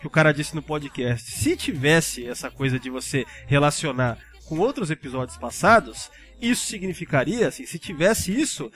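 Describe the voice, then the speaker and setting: A man's voice: fairly high pitch, expressive, moderate pace, few pauses, a bit bright, soft background music. A man with a Brazilian accent chatting casually as a podcast panelist, talkative and informal, with some casual swearing. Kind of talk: casual chat.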